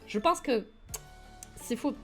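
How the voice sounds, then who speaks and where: A woman's voice: on the high side, lively intonation, slow, faint music behind. A young woman from Toulouse with a southern French accent, chatting casually and enthusiastically with her stream viewers, with plenty of fillers. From southern France, Toulouse. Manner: chatty, enthusiastic, many fillers, talks to chat. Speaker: livestream host.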